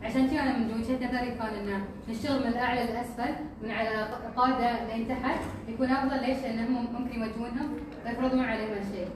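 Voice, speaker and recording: Rapid Gulf-accented woman asking questions. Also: a little high, steady tone. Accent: Gulf Arabic. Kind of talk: audience question. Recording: echoey hall from far off, background noise.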